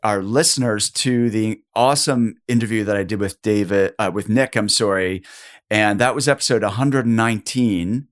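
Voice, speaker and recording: A man hosting a podcast interview, upbeat and keen, his voice a little husky from a cold. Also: on the low side, moderate pace. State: has a cold, a bit husky. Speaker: podcast host.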